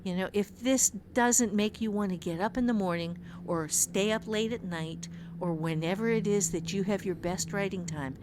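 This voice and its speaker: A woman with a medium-pitched voice talks conversationally as a writing teacher on a podcast. She is earnest and emphatic, often repeating a phrase to press the point. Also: moderate pace, hardly any pauses, noisy background.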